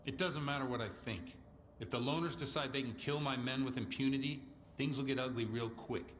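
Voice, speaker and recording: A man playing a hard-nosed armed faction commander in a video game, measured and stern. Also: mid-range voice, a bit bright, a little hiss or hum, close to an everyday voice. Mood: stern, menacing. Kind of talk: video game character dialogue.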